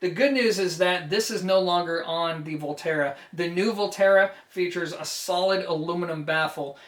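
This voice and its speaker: Higher-voiced man with a Jersey accent. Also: normal speed, a bit bright. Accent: New Jersey. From New Jersey, United States. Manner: enthusiastic, emphatic, addressing viewers directly. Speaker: audio equipment reviewer and host. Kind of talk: conversational product review.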